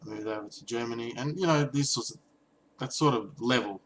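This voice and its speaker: A man's voice: mid-range voice, a little fast, fairly bright tone, slight background noise, poor audio. A young man casually vlogging about language learning, hesitant and reflective, with many restarts and ums. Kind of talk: casual talking-head vlog.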